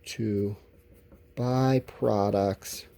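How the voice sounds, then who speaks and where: A man's voice: fairly low pitch, steady tone, extremely slow, vocal fry, dark tone. A man teaching a lesson to children, thinking aloud in simple, encouraging explanations. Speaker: elementary school teacher. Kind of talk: informal lesson, thinking aloud while writing answers.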